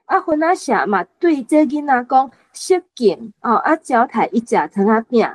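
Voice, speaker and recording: A woman's voice: high voice, expressive, moderate pace. A woman in her late fifties with a Taiwanese accent, discussing a story as a guest and sharing personal anecdotes. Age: late 50s. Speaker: guest panelist.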